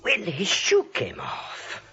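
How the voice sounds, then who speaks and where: An actor playing a nervous, soft-hearted crooked doctor, muttering and fussing in a radio comedy. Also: male voice, high voice, huge pitch swings, slow pace, heavily acted. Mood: flustered, furtive. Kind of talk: 1940s radio comedy acting. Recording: muffled old radio recording.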